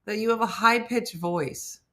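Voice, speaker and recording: A woman's voice: mid-range voice, unhurried. A middle-aged woman hosting a comedy show in casual, teasing banter, often asking playful questions. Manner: animated, teasing, inquisitive. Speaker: comedy morning show host.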